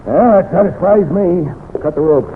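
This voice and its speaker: A man's voice: high voice, animated, normal speed, a little hiss or hum. A tough, suspicious boss of an outlaw gang in a radio western. He sounds folksy and commanding, on a tinny old recording with long reverb. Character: wary, bossy male outlaw gang leader.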